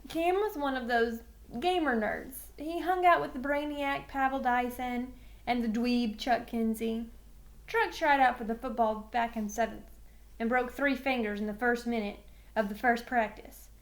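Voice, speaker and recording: High-voiced woman reading a story. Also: steady tone, unhurried. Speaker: teacher reading a book aloud. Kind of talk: expressive children's novel read-aloud.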